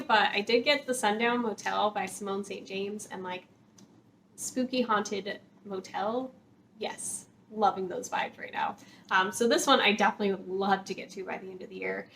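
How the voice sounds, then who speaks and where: A woman's voice: mid-range voice, a bit bright. A woman chatting casually to viewers as a book vlogger, informal and conversational, with lots of filler words. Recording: clear background noise.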